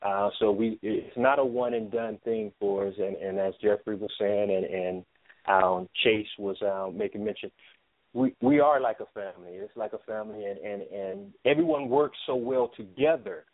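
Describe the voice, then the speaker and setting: A man answering interview questions as a theater producer, speaking haltingly and often repeating his words. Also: fairly low pitch, fairly even intonation, dry recording. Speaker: stage play writer and producer. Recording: thin phone-line sound.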